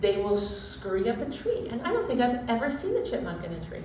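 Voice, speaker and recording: Higher-voiced woman in a very echoey room. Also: huge pitch swings, fairly quick, dark tone. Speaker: children's storytime co-host. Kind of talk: casual conversational co-hosting. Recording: very echoey room with background noise.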